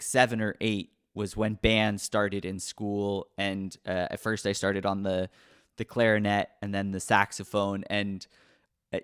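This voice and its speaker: Podcast host guy, low smooth voice, rambles through his questions with lots of uhs and likes. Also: a bit bright. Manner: rambling, friendly questions with many fillers. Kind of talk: casual interview.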